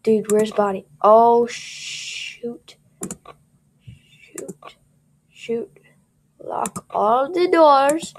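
A woman's voice: fairly high pitch, animated, extremely slow, vocal fry, long pauses. A young woman with a scratchy voice doing casual gameplay commentary, jittery and cursing as she talks to viewers.

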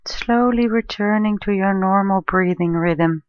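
Slow, soothing woman guiding meditation. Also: medium pitch, no echo, lo-fi sound. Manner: soothing, gentle, unhurried with long pauses. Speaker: meditation guide, life coach and therapist. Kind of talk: guided meditation narration.

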